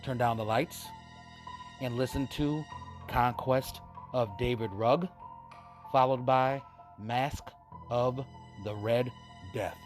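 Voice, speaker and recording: Slow-paced man hosting, over music. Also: mid-range voice, steady tone. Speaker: host of an old-time radio horror show. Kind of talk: scripted introduction narration. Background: music under the voice.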